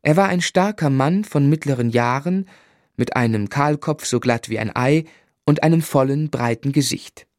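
An actor narrating as a grown man looking back on a lonely boyhood, in measured literary German radio drama style. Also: medium pitch, dry recording, studio quality, lightly acted. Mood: reflective. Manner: reflective, measured storytelling.

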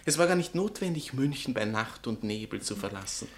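Actor as a pompous bohemian writer, swooping intonation. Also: male voice, medium pitch, animated, fairly quick, close to an everyday voice. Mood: reproachful. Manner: pompous, theatrical, mocking. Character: vain, sardonic bohemian writer, spurned old lover. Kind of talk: theatrical literary satire dialogue.

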